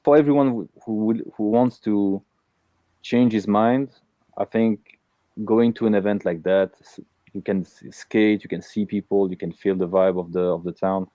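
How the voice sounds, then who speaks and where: A man's voice: on the low side, fairly even intonation, normal speed, dark tone, no room sound, lo-fi sound. A man from Belgium hosting a casual live interview, talking with many fillers and restarts. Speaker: host.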